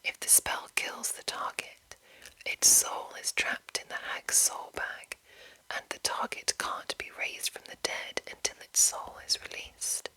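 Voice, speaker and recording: A woman whispering in a breathy, unvoiced voice, softly and deliberately reading aloud as an ASMR host. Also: rough recording. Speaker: ASMR video host and narrator.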